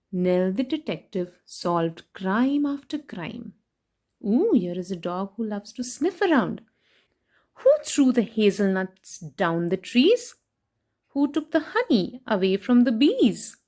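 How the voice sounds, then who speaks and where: Animated woman reading aloud to children. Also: medium pitch, slow pace. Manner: animated, sing-song rhyme reading with playful emphasis. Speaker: children's story reader and host. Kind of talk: expressive read-aloud of a picture book with chatty asides to children.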